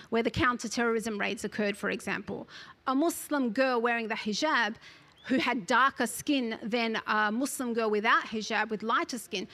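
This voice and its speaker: A woman, speaking as an interview guest who talks about her book in an articulate, earnest way and often fills pauses with "you know". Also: fairly high pitch, fairly bright tone. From Australia. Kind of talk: conversational interview.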